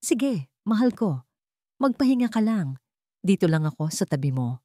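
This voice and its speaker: A scheming Filipino fiancé in an audio love story, voiced by a woman, sugary and calculating. The words come smoothly in a dry, close recording. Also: mid-range voice, sing-song, normal speed, warm tone, no echo, pitched above the performer's own voice, close to an everyday voice. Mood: falsely tender. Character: scheming, falsely tender fiancé, a greedy man.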